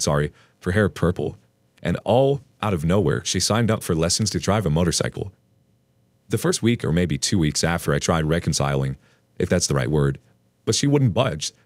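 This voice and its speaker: A man reading an online post aloud as a storytelling narrator, in an expressive read. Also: sits low, no echo.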